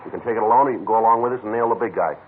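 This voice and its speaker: An actor playing a terse, unflappable police sergeant in a 1950s radio drama, with a clipped, deadpan delivery. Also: male voice, on the low side, racing, slight background noise, natural voice. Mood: interrogating. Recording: tinny old radio transcription.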